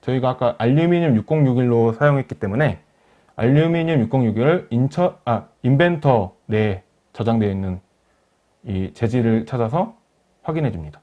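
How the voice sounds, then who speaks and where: A man narrating a software tutorial as a webinar instructor, explaining each step in a polite, formal way. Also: mid-range voice, expressive, normal speed. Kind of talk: software tutorial narration over screen demo. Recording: dull lo-fi voice recording.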